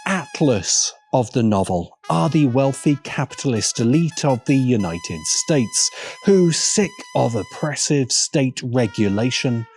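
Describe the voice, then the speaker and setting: A man's voice: mid-range voice, huge pitch swings, unhurried, a little mellow. A man narrating a scripted video essay with wry, dramatic emphasis. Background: background music.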